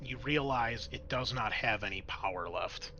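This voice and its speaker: A man's voice: medium pitch, normal speed, pushed and bright, muffled recording, slight background noise. A man narrating a tabletop horror game as game master, improvising in a conversational, explanatory way.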